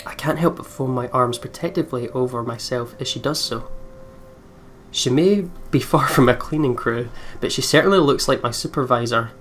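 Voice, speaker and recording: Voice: medium pitch, moderate pace, a little hiss or hum. A gamer doing casual let's play commentary, swearing and stumbling while reading visual novel narration aloud.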